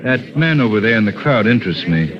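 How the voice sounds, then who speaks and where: A suave gentleman detective, played by a man, easy and amused, with a middle-pitched voice that moves lively up and down. He is heard on a dull, muffled vintage radio drama. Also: fast talker, a crowd in the background, lightly acted. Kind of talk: 1940s radio acting. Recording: dull old radio broadcast.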